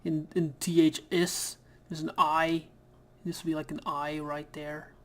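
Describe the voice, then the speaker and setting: A man's voice: fairly high pitch, little pitch movement, measured pace, fairly bright tone. A man whose first language is Dutch, chatting casually over a game he plays and reading its text aloud. He often stumbles and corrects himself. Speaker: let's player.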